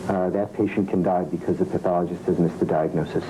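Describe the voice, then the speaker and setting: A man's voice: low-pitched, fairly even intonation, fast talker, noisy background. A middle-aged man, a doctor, explaining and answering questions in an interview. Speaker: dermatologist, department chairman.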